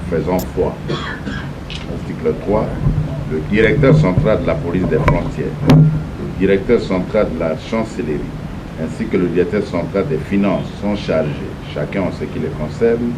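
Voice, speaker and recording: A man reads an official decree aloud in a slow, measured voice. He is very low-pitched and sounds far from the microphone. A clear background rumble fills the room. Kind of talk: formal reading aloud. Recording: distant mic, noisy room.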